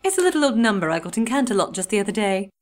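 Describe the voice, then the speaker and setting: A woman's voice: medium pitch, big pitch swings, racing, close to an everyday voice. A woman playing a vain, wealthy lady pony with an affected, refined and theatrical manner in a cartoon drama. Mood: genteel, smug. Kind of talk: amateur cartoon audio drama acting.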